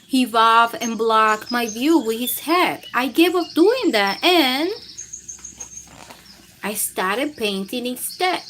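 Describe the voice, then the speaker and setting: A woman's voice: on the high side, animated, slow pace, a bit bright. A woman hosts a read-aloud for young children. Her delivery swings up and down expressively and takes its time. There is noticeable background noise with chirping. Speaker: children's storytime host and reader.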